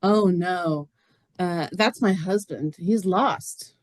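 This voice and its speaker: A woman's voice: lowish voice, slow, no echo, clean studio sound. A woman playing a plain-spoken, lonely, literal-minded farm neighbor in a stage reading, earnest and matter-of-fact. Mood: wistful. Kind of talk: stage reader's theater acting.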